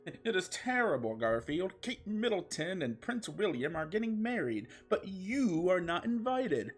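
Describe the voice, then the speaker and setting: A man voicing an anxious male cat owner who delivers his warnings in a raised, fretful tone. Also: big pitch swings. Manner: high, fretful, drawn-out warnings.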